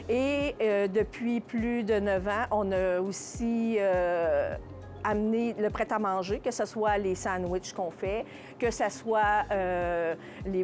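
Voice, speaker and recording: Woman, Quebec French accent, mid-pitched voice, business owner in an interview, casual, halting, faint music underneath. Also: a little mellow. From Contrecœur, Quebec, Canada. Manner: hesitant, halting, searching for words. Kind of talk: casual, unscripted interview answers.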